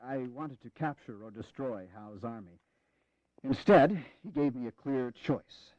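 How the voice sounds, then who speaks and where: A man's voice: medium pitch, a little mellow, dry recording, lightly acted. A man acting a grave, courtly general, muffled recording. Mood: wry, grave. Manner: measured, formal, courtly. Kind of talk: dramatized history audio acting. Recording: dull, lo-fi 1980s audio drama.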